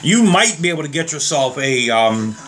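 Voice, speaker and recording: Slightly hoarse man demoing trigger locks. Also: a little high, very animated, normal speed, a bit bright. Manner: rambling, plain-spoken, addresses viewers as guys. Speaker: gun reviewer. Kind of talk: casual demo vlog.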